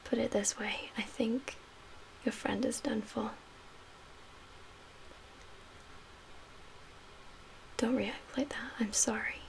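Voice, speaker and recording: A woman playing a calm survivor camp leader in a soft-spoken ASMR roleplay, with a breathy, whispery voice and a gentle, measured, hushed manner. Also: fairly high pitch, rough recording. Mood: calm, cautioning. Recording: clear background ambient noise.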